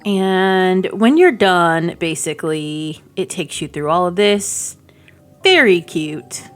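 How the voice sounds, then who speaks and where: A woman's voice: fairly low pitch, expressive, takes it slowly, faint music behind. A woman giving casual gaming commentary as a video host, chatty and enthusiastic, thinking aloud as she goes. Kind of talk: casual game preview commentary.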